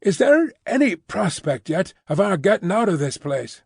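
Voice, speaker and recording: A man's voice: sits high, sing-song, normal speed, vocal fry, breathy edge, no room sound, studio quality, voice pushed up, heavily acted. A man voicing a fussy, fiercely loyal Englishwoman housekeeper who talks emphatically and primly. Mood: emphatic. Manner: emphatic, bustling, prim.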